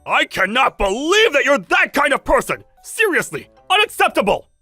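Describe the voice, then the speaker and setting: A woman's voice: mid-range voice, very animated, normal speed, pushed and bright, no echo, faint music behind, exaggerated voice. A woman voicing an arrogant, whiny young husband in a manga dub, scornful and exaggerated. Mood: outraged. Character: arrogant, whiny, cheating young husband obsessed with fancy food.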